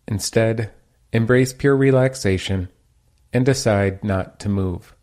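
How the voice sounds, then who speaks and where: A man leading a guided meditation as its teacher, giving calm, gentle, unhurried instructions. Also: fairly low pitch.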